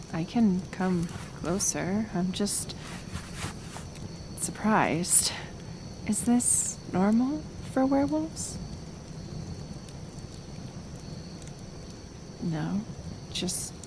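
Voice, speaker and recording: A woman playing a kind, flustered, curious healer in an intimate ASMR roleplay, speaking softly and hesitantly. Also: medium pitch, background noise. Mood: gentle, puzzled. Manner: halting, soft, hesitant delivery. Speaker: roleplay audio performer.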